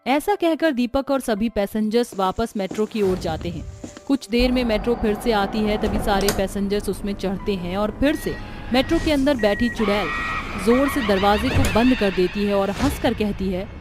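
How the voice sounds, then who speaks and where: A woman narrating a scripted cartoon horror story in a steady, dramatic storytelling manner. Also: mid-range voice, normal speed, over music.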